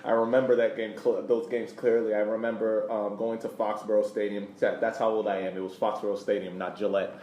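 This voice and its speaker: A man's voice: fairly low pitch, level tone, fairly quick, few pauses, a little mellow. A middle-aged man co-hosting a podcast, reminiscing casually about sport and repeating phrases for emphasis. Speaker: podcast co-host. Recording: roomy, distant mic, faint noise.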